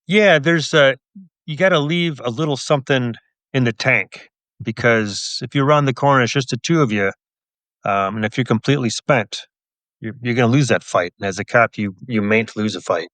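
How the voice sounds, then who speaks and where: A man who works as a police officer and author, answering interview questions in a measured, explanatory, conversational way. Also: mid-range voice, fairly bright tone, dry recording.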